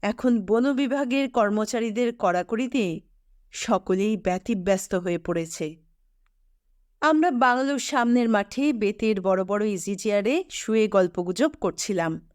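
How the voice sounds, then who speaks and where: Slow, high-voiced woman storyteller. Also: lively intonation, a little mellow, no echo, clean studio sound. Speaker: storyteller reading fiction aloud. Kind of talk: scripted audiobook narration of a literary story.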